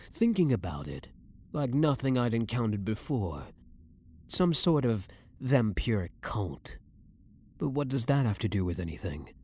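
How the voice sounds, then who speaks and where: A man playing a blunt, dominant, brooding vampire hunter who is secretly a vampire, in a husky voice with measured, formal, theatrical phrasing for an ASMR roleplay. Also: on the low side, darkish tone, exaggerated voice. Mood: grave, puzzled. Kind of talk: ASMR roleplay audio drama acting.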